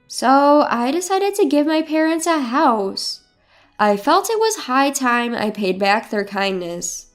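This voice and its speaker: A woman's voice: sits high, big pitch swings, takes it slowly. A woman reading a scripted first-person dramatic story as its narrator. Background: background music.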